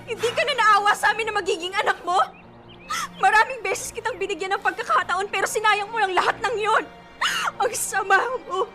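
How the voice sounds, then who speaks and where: A woman's voice: very high voice, a little fast, over loud music. A betrayed pregnant young woman. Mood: furious shouting. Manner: accusing, escalates to shouting and crying. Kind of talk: radio drama acting.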